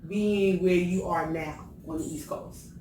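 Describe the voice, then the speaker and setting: A woman's voice: on the low side, steady tone, unhurried, a little mellow, far from the mic. A woman hosting a casual chat show, teasing her guest and asking easygoing questions. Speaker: host. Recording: echoey room, off-mic, light noise.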